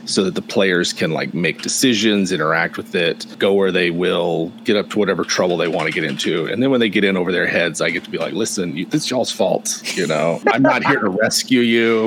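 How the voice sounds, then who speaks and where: A man's voice: deep voice, brisk, hardly any pauses, a bit bright, a little hiss or hum. A man from Texas chatting on a panel with dry, joking asides. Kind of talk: casual panel chat.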